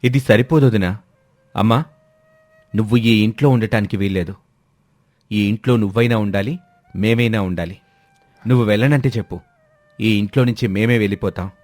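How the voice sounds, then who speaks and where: A man's voice: lowish voice, moderate pace, long pauses, a little mellow, no echo, lightly acted. Man as righteous young son in a moral story, probing and accusatory, music under him. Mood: defiant. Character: outraged younger son exposing his scheming mother.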